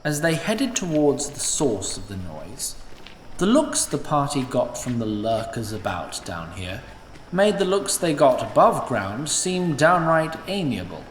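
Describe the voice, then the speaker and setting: Expressive male fantasy narrator. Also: medium pitch, a little slow, a little hiss or hum. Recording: roomy, slightly distant mic.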